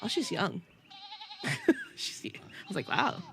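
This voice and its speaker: A giggly woman around thirty streams a game and chats, her nasal voice bright and on the high side. Her pitch swoops up and down a lot as she rambles and teases herself, with a faint hum of noise behind her. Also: huge pitch swings, slow. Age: about 30. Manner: giggly, rambling, self-teasing. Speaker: VTuber streamer. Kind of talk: casual live-stream chat while gaming.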